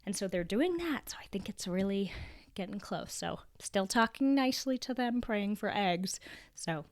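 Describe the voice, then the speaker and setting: A young adult woman with a cold, her voice raspy and deeper than usual, chatting casually on her solo podcast with fillers and restarts. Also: animated, normal speed, no room sound. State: hoarse from a cold. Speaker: podcast host.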